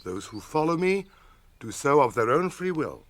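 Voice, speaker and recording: A man's voice: a little high, big pitch swings, normal speed, fairly bright tone. An actor playing a stern, resolute noble ranger lord in a radio drama, speaking formally and declaiming his lines. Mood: grave, gentle. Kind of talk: classic radio drama acting.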